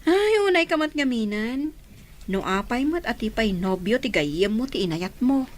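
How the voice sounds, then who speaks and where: A woman acting a nosy, teasing big sister in a radio drama, animated and needling. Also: fairly high pitch, normal speed, natural voice.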